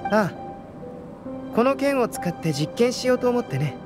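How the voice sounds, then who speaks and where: A man playing a composed young alchemist knight in a video game, explaining things in a measured, scholarly, analytical manner. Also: very high voice, very animated, music in the background. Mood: calm, analytical.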